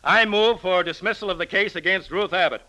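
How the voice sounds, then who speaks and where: An earnest American prosecutor in an old radio courtroom drama. His voice sits high and cuts very sharply. He declaims with formal, deliberate insistence. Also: pushed and bright, a little hiss or hum, rough recording. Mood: formal, insistent. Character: earnest, formal male prosecuting district attorney. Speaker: actor in a radio play.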